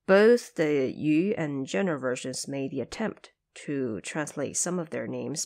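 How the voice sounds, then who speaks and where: A woman's voice: sits low, a little mellow. A woman hosting and narrating a scripted explainer in a didactic, carefully enunciated manner. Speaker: host and translator.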